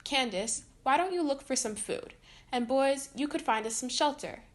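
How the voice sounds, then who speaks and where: A woman voicing a calm, practical father giving instructions in a children's cartoon storybook. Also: sits high, normal speed, pitched above the performer's own voice, close to an everyday voice.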